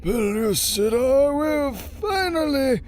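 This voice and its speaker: A woman's voice: sits high, animated, takes it slowly, a little mellow. A woman playing a stern, pompous judge of underground mole people in a pulp adventure audio drama. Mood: pronouncing judgment.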